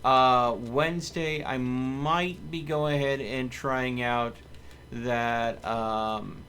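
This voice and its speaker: A man's voice: mid-range voice, fairly even intonation, drawn-out words, a bit bright, a little hiss or hum. A man chatting casually as a game streamer, with playful, joking asides. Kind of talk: casual gaming livestream chat.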